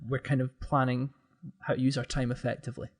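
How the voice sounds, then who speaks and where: A man's voice: mid-range voice, level tone, fast talker. A man speaking as a guest in a casual podcast conversation, in a reflective, hedging way.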